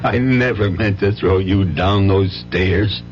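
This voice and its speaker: An actor playing a remorseful, pleading husband and father with a gambling habit, in old-time radio drama style with halting, earnest delivery. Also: sits low, soft background music. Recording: muffled, noisy old radio broadcast with hum.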